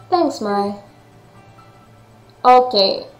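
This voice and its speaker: A woman's voice: high-pitched, sing-song, drawn-out words, reverberant, soft background music. A woman with a Greek accent and non-native English, reading a visual novel aloud and commenting as she plays. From Greece. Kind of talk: game playthrough with read-aloud narration and chatty commentary.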